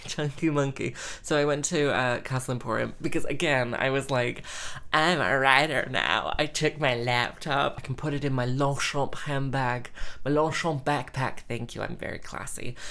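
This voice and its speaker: A woman's voice: normal speed, a bit bright. Playful, self-mocking young woman. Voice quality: says she has a squeaky voice. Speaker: musician and vlogger. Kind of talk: casual rambling monologue.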